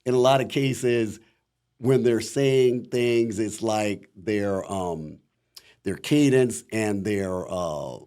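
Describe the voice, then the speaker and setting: A middle-aged man with a deep voice and a Midwestern American accent, chatting reflectively as a voice actor guest in an interview. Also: slow pace, dark tone. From Akron, Ohio, USA. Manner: rambling, many "you know" fillers, reflective. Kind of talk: casual interview talk.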